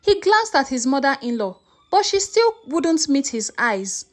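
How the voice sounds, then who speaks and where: A woman's voice: high voice, sing-song, unhurried. A woman storyteller narrating a scripted story in a dramatic way.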